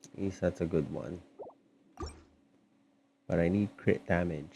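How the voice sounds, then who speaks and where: A man giving casual, low-key, hesitant livestream commentary while he plays a video game. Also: a little slow, vocal fry, dark tone, a little hiss or hum. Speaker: game streamer. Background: game music.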